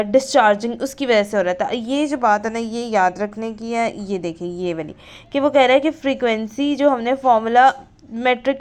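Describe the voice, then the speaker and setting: A woman's voice: fairly high pitch, darkish tone. A woman giving an informal lecture, reading out English terms from notes and explaining them point by point with frequent checking tags. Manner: explanatory, often ends points with a checking tag. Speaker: physiotherapy lecturer.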